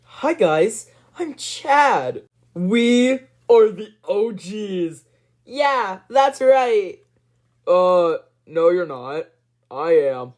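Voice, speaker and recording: A woman's voice: mid-range voice, very animated, drawn-out words, darkish tone. A woman performing short, exclamatory character lines in the style of an amateur animation voice reel. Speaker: amateur voice actor.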